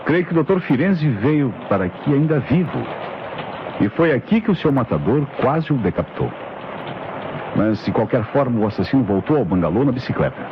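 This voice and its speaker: An actor playing a shrewd, methodical police inspector with a Brazilian accent, deliberate and authoritative in old radio mystery style. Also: male voice, medium pitch, sing-song, lightly acted. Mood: deductive. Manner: deliberate, reasoning aloud, authoritative. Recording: noisy, muffled old radio recording.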